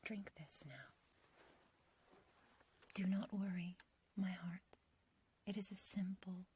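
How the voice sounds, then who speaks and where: A woman's voice: on the low side, mellow, full character voice. A woman playing an ancient but youthful witch in a whispered ASMR roleplay, obsessively loving and possessive, with archaic, intimate phrasing. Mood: coaxing, possessive. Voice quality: breathy, whispery.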